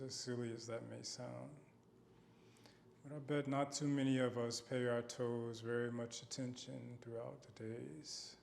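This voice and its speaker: A man guiding a body scan meditation in a gentle, unhurried manner with long pauses. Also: medium pitch, vocal fry, a little hiss or hum. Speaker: meditation guide.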